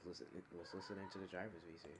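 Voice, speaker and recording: A man giving play-by-play commentary on an online sim racing event, calling the on-track action quickly and correcting himself as he goes. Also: sits low, steady tone, a little hiss or hum. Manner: rapid calls of on-track action, talking himself through corrections. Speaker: race commentator.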